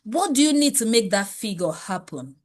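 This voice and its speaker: A woman's voice: mid-range voice, very animated, moderate pace, darkish tone. A woman leading a motivational money class, speaking emphatically and preachily with many rhetorical questions. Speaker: wealth coach.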